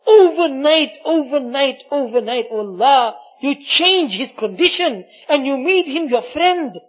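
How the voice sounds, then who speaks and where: A man leading an impassioned Islamic supplication, pleading and repeating his invocations, swinging between hushed murmurs and loud cries. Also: very high voice, expressive, a little slow. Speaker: religious leader leading a supplication. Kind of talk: impassioned spoken Islamic prayer. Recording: muffled, dull lo-fi audio.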